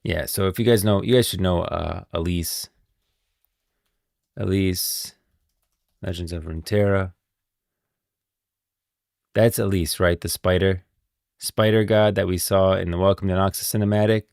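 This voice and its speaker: A male streamer with a very low, creaky voice talks casually about game lore. He muses and thinks aloud as he goes. The recording is close and dry. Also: steady tone, measured pace, pauses often, darkish tone, no room sound. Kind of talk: casual live stream commentary.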